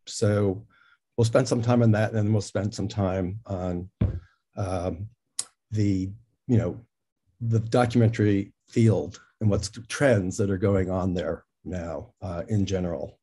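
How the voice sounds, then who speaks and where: A man with a fairly low, husky voice hosts a casual conversation. His tone is dark and relaxed, and he talks haltingly, working out his questions as he goes. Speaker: interview host.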